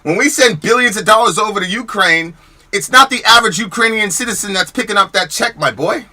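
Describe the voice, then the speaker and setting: A man's voice: high-pitched, a little fast, bright, forceful tone. An American man hosting a podcast, giving heated commentary on chat comments, arguing emphatically with rhetorical questions and repeating himself as he gets worked up. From United States. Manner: repeats words and restarts when worked up. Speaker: podcast host.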